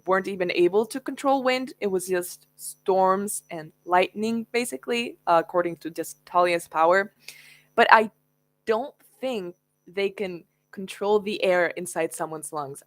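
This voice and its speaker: Chatty woman, low and dry. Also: no room sound. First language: Spanish. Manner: chatty, laughs often, thinks aloud. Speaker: book youtuber. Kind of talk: casual Q&A livestream chat.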